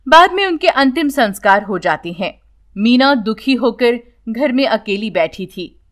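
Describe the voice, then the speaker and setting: Female storyteller for scripted children's tales; high register, very lively intonation. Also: measured pace.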